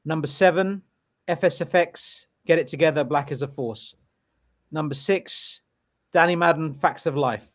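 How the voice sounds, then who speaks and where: A man co-hosting a podcast, joking at his own expense and then reading out a ranked list in a steady way. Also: a little high, moderate pace. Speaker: podcast co-host.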